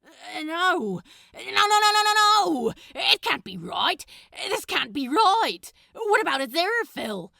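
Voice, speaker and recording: A woman's voice: extremely high pitch, very animated, unhurried, gravelly, bright, forceful tone, no echo, studio quality, pitched above the performer's own voice, heavily acted. A woman playing an anguished, hot-tempered male demon in an audiobook, emotional and exclaiming. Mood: panicked, desperate. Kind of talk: acted audiobook dialogue.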